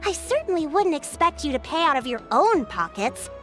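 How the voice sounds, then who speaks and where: A woman's voice: high-pitched, sing-song, normal speed, fairly bright tone. A woman playing a poised, friendly young chief in a video game, speaking politely and with assurance. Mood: assured. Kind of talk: animated video game dialogue. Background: music.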